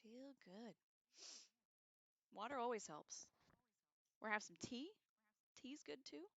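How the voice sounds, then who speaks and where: A woman hosting a casual livestream, chatting to viewers in an excitable, giggly way with loose, profane slang. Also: a little high, unhurried, creaky, slight background noise. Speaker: livestream host. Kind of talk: casual chat to live viewers.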